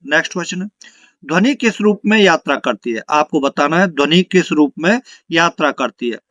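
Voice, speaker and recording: A man's voice: a little high, animated, a little fast, fairly bright tone, no room sound, lo-fi sound. A man teaching an exam lesson, reading out questions and answers and repeating them for emphasis.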